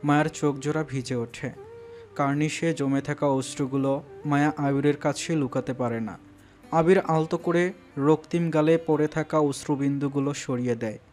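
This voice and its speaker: A man's voice: on the high side, steady tone, normal speed, a little mellow. A man narrating a romantic audio story in a dramatic, scripted style. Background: background music.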